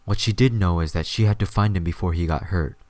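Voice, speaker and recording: A man's voice: low-pitched, fast talker, darkish tone, dry recording. A man reading scripted story narration as the narrator of an audio drama.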